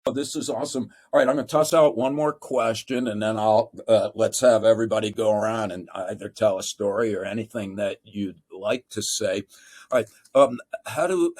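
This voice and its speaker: A man moderating a discussion, speaking in a halting, academic way with learned wording. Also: lowish voice, a little mellow. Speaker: panel moderator.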